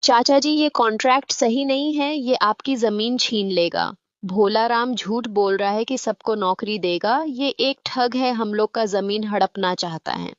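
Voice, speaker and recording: High-voiced, insistent young village woman, acted. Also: unhurried, dry recording, highs cut off, lightly acted. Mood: urgent warning. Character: young educated village woman, sharp-witted and warning.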